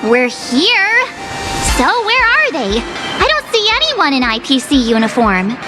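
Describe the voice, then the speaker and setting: A sassy young game heroine, high and very animated. She is blunt and dramatic, drawing her lines out over loud music. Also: a little slow, full character voice. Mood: impatient, surprised. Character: sassy, expressive young girl adventurer. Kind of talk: video game voice acting. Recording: music under the voice.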